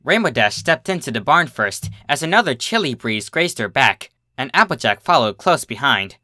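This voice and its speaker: A man's voice: medium pitch, big pitch swings, moderate pace, bright, forceful tone, no echo, studio quality. A man reading scripted story narration as a fiction narrator.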